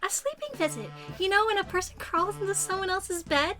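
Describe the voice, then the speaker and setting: Woman doing a sleepy cutesy anime-game high school girl, super high voice, teasing, loud music over it. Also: brisk. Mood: teasing, innocent.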